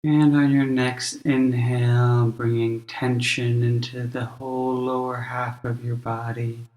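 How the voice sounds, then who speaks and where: A male meditation teacher guides a practice at a very slow pace. His delivery is gentle and measured, with long pauses between instructions. His mid-pitched voice sits in a clearly roomy, reverberant sound. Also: flat delivery.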